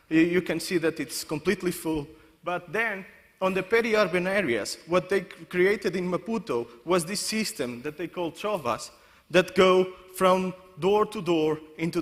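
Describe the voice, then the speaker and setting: A man's voice: high voice, a bit bright. A man from Portugal giving a conference presentation, often restarting and correcting himself mid-sentence. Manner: halting, many restarts and self-corrections. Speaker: conference speaker. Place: conference hall. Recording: long hall reverb.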